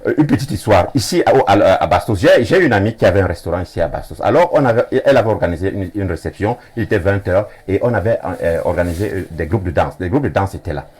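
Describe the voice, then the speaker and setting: A middle-aged man, a professor arguing on a TV panel, insistent and halting, often restarting his sentences. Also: deep voice, animated, darkish tone. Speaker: professor and debate guest. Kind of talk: heated TV panel debate.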